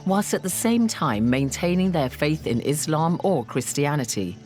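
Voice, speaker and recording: A woman with a very low voice presents a documentary, delivering scripted narration and interview questions at a measured, even pace. Soft music runs faintly underneath.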